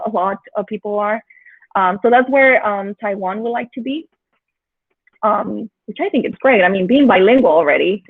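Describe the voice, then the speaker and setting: A woman's voice: mid-range voice, dry recording. A woman from Mexico with a Mexican accent, leading an online teaching workshop for teachers in a chatty, encouraging way with plenty of filler sounds. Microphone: video call. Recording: tinny, dry video-call audio.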